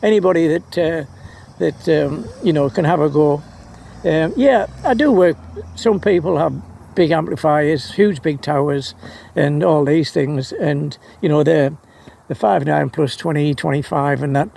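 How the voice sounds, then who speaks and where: A man's voice: on the high side, unhurried, gravelly, warm tone. A man rambling casually and enthusiastically about his hobby, often repeating words as he hesitates. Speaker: amateur radio hobbyist vlogger.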